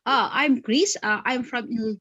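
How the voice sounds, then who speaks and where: A woman's voice: on the high side, measured pace, fairly bright tone, dry recording, lo-fi sound. A woman from the Philippines, introducing herself politely and earnestly in an English class over a video call. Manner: polite, earnest, simple phrasing.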